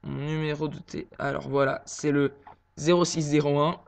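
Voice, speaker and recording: A man's voice: fairly high pitch, level tone, vocal fry, clear, resonant tone. Hesitant man on a prank call. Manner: hesitant, full of pauses and fillers. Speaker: prank caller posing as a son.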